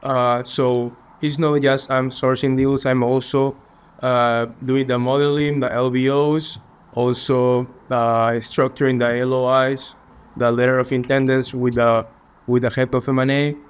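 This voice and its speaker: Young Peruvian man, smooth clear voice. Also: mid-range voice, level tone. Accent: Peruvian Spanish. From Peru. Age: young adult. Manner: earnest, polite, slightly halting phrasing. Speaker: finance student guest. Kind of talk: interview answers.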